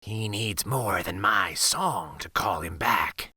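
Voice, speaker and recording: Man as a low, hushed storybook wolf. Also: animated, slow pace, slightly rough, no echo, clean studio sound, heavily acted. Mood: grave. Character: kind, solemn magical male wolf.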